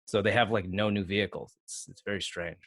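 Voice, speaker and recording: Canadian podcast cohost guy, kinda low voice, no room echo, slangy casual chat. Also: fairly even intonation, fairly quick, a bit bright, studio quality. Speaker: podcast co-host.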